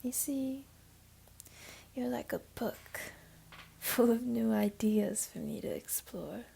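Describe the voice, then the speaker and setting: A woman voicing a flirty, teasing, comforting jaguar beastling in an intimate ASMR roleplay, speaking softly and coaxingly in a half-whisper. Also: on the high side, slow, gravelly, slight background noise, heavily acted. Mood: patient, inviting.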